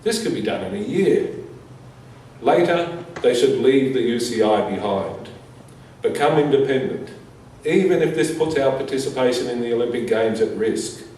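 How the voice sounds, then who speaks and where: A man reading a prepared statement aloud as a conference speaker, in measured, deliberate short phrases. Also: mid-range voice, expressive, a little mellow. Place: reverberant conference room. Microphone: distant from the speaker. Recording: echoey room, distant mic, faint noise.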